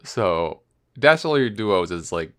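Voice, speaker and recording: A man's voice: on the low side, sing-song, takes it slowly, a bit bright. A man co-hosting a podcast, chatting casually in a rambling, hedging way. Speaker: podcast co-host. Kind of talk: casual chat.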